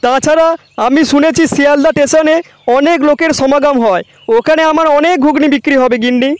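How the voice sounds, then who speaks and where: Very high-pitched cartoon street snack seller. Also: male voice, steady tone, a little fast, no room sound. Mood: earnest. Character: quick-tempered village man selling ghugni street snacks. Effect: pitch-shifted cartoon voice. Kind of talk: comedy cartoon dialogue.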